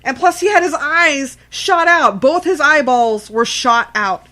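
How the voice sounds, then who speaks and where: A woman's voice: high voice, measured pace, pushed and bright. A woman co-hosting a casual horror film discussion, speaking emphatically, repeating herself for emphasis and swearing freely. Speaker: podcast co-host.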